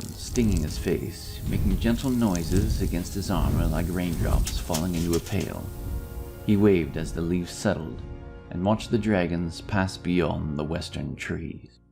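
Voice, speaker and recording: A man's voice: low voice, vocal fry, darkish tone. A man narrating a scripted fantasy story as a storyteller. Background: music.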